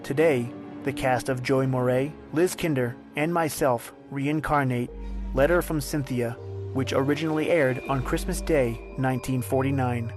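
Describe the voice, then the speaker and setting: A man narrates like an old-time radio host, in a mid-pitched voice with theatrical storytelling delivery. Music plays clearly behind him. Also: normal speed.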